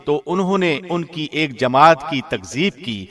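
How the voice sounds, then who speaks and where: A man reading a scripted scripture translation in a measured, formal radio-presenter manner. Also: fairly high pitch, lively intonation, pushed and bright. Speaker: translation reader, radio host.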